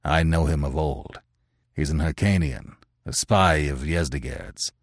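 A man puts on a hard barbarian fighter who speaks low and quiet, his threats slow and measured. The voice is deep, close and dry. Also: no room sound. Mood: dismissive. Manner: low, soft-spoken menace. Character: grim, menacing barbarian warrior, adult man.

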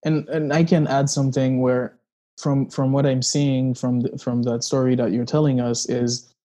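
Halting host from Haiti, mellow voice. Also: male voice, mid-range voice, level tone. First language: Haitian Creole. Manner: halting, with many "uh" fillers. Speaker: interview host. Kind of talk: interview conversation.

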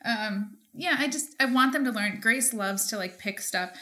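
A woman's voice: fairly high pitch, moderate pace, sharp tone. An American woman in her thirties speaking as a podcast co-host in casual conversation, informal and peppering her sentences with "like". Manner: informal, frequent "like" as filler. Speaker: podcast co-host and market gardener.

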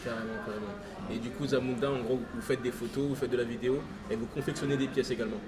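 Quick-talking male interviewer, crowd behind. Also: medium pitch, fairly even intonation. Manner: encouraging, frequent short backchannels. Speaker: interviewer host. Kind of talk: casual interview conversation.

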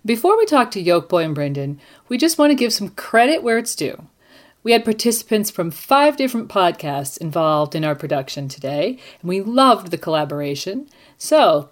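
Female podcast host, prepared conversational delivery with highly varied melody. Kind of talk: scripted-sounding presentation and chat.

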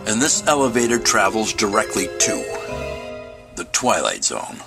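An actor playing a solemn, theatrical narrator of an eerie mystery anthology, speaking in measured phrases. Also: male voice, on the low side, sing-song, vocal fry, heavily acted. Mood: grave, inviting. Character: ominous male narrator host of an eerie anthology. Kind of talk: 1950s-style mystery show narration. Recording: loud music under the voice.

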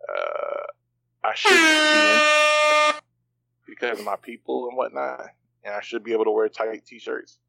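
A man's voice: medium pitch, wildly swooping pitch, a little slow, gravelly, pauses often, very noisy. A man co-hosting a podcast in casual chat, rambling loosely with plenty of fillers and slang. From part Bahamian. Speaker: podcast co-host.

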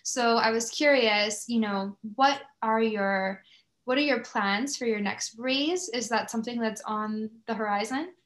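A woman's voice: a little high, unhurried, a bit bright. A woman hosting a podcast, chatting in an appreciative, effusive way as she interviews a guest. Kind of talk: conversational interview.

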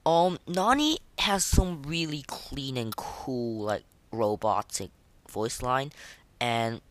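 A man's voice: medium pitch, expressive, a bit bright, no room sound. Male podcast host, casual reaction commentary. Swinging intonation, informal stop-start delivery, close dry sound. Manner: informal, halting, filler-heavy rambling. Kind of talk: casual reaction commentary, rating game voice lines.

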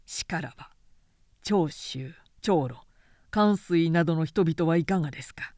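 A woman's voice: low-pitched, expressive, measured pace, a little mellow, no room sound, studio quality. A woman voicing a humble, cautious man in an audiobook, speaking politely in a subdued, deferential way. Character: humble, cautious man feigning timidity before a warlord.

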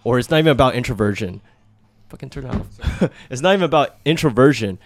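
A man's voice: medium pitch, expressive, fast, no room sound. A young man hosting a podcast, chatting with friends in a casual, slangy way, swearing and laughing often. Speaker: podcast host.

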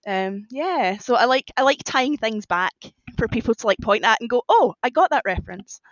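A woman's voice: a little high, animated, normal speed, dry recording, muffled recording. A woman chatting casually and enthusiastically as a podcast guest. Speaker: podcast guest, fan fiction writer. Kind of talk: casual chat.

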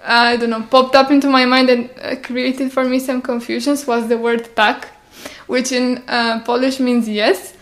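Young woman, higher pitch. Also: little pitch movement, a little slow, few pauses. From Romania. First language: Romanian. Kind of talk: casual podcast chat.

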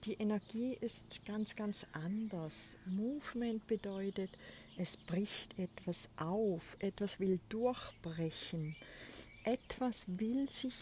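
A woman hosts her spiritual podcast from an outdoor balcony, speaking in a soft, often breathy way. She talks very unhurriedly and lets pauses sit. Birdsong and a faint hiss of outdoor noise drift behind her voice. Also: mid-range voice. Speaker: podcast host. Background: birds and a crow.

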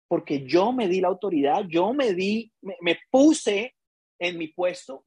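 A Colombian man with a slightly raised voice and a husky edge, talking as a business coach. He tells stories emphatically and acts out dialogue in a dry, close recording. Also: sits high, a little mellow, no echo. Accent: Colombian Spanish. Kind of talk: casual podcast chat.

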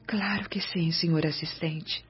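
A frail, suffering woman spirit in a Brazilian radio drama. She speaks very slowly and tenderly, breathy and often whispering. The recording is dull, with soft music behind her. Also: sits low, fairly even intonation, heavily acted. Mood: grateful, tender. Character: suffering, disfigured woman spirit, humble and grateful.

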